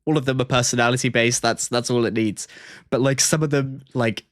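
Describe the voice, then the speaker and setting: A man doing casual livestream commentary, reacting and ranking in a halting, think-aloud way. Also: mid-range voice, steady tone, no room sound, clean studio sound. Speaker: streamer reacting to and ranking game trailers. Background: music.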